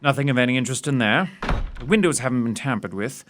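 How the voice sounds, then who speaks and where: Guy acting as a pompous sleuth, formal and exact, dry wit, period audio drama, mid-pitched voice. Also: animated, fast, background noise, lightly acted. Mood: brisk, absorbed. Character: keen, self-assured gentleman detective with a dry wit.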